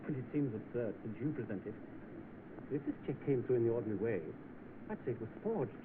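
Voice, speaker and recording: A polite, cautious bank cashier played by a man in a 1930s film. He speaks formally at a medium pitch. The sound is thin and noisy. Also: animated, fast talker, phone-quality sound, lightly acted. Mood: courteous, suspicious. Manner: formal, hesitant.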